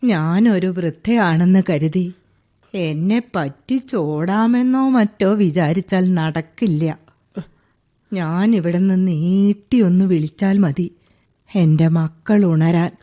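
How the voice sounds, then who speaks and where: Radio play: strict old lady, very slow drawl, soft dark tone, bossing a thief around. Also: fairly low pitch. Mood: stern. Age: older. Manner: slow, commanding and scolding. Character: stern elderly woman catching a burglar. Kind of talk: 1980s-style radio play acting.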